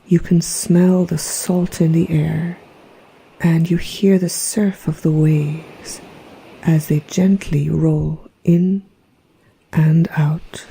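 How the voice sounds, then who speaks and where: A woman narrating a guided meditation in a soft, breathy, half-whispered voice with a gentle, soothing, hypnotic manner. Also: sits low, little pitch movement, slow, poor audio. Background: relaxation music.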